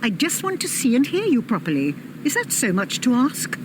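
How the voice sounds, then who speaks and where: An actress playing a sharp, impatient, sarcastic older woman customer in an audio drama, tart and demanding. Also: fairly high pitch, wildly swooping pitch, moderate pace. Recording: noisy outdoor cafe ambience with traffic. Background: cafe and street ambience.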